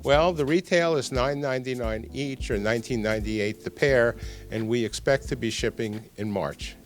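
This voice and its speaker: A man's voice: medium pitch, normal speed, faint music behind. An older man being interviewed as a product designer, explaining technical details and repeating words for emphasis.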